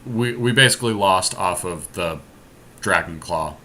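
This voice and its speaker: A man's voice: sits low, unhurried, sharp tone. A man giving casual gameplay commentary to a teammate, thinking aloud step by step through each move. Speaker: podcast host playing an online card game. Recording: clear background noise, amateur screen capture.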